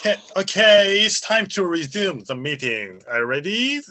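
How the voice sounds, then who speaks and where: A man chairing an online meeting, giving brisk directions. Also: high-pitched, big pitch swings, pushed and bright.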